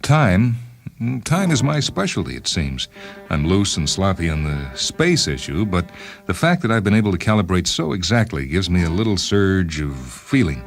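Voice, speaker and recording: A man plays an obsessive time-travelling inventor telling his own story. The voice is very deep, deliberate and pedantic, coolly self-absorbed. Music runs behind the narration. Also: sing-song, creaky, exaggerated voice. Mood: pedantic, self-satisfied. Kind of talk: first-person dramatized short-story narration.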